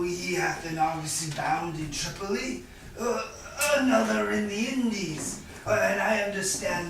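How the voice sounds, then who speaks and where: Actor as sardonic stage moneylender, slow, toying, chuckling delivery, gravelly edge, very echoey room. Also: male voice, sits high, fairly bright tone, exaggerated voice. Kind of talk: staged reading of Shakespearean drama. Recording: distant echoey room with faint noise.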